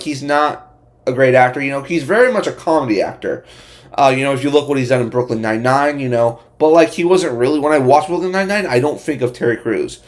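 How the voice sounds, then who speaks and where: A man hosts a casual movie review in a mid-pitched voice. He is blunt and opinionated. His delivery is unscripted and halting, with repeated words and phrases begun again. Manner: halting and repetitive, often restarting phrases. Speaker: host of a movie review channel.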